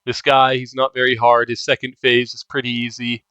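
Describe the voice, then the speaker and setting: Casting: male walkthrough commentator, casual explanatory delivery, average pitch, lo-fi sound. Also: monotone, moderate pace, sharp tone, smooth, dry recording. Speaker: gamer giving a walkthrough. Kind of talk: casual game guide commentary.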